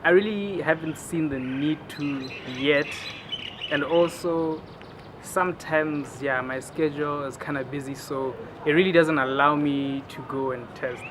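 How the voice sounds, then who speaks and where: A young man gives his view in an easygoing, hesitant way, often trailing off. His voice sits a little high. Birds and passing traffic are clearly heard behind him outdoors. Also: fairly even intonation, moderate pace. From Botswana. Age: 20s. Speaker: interviewee. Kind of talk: casual personal opinion.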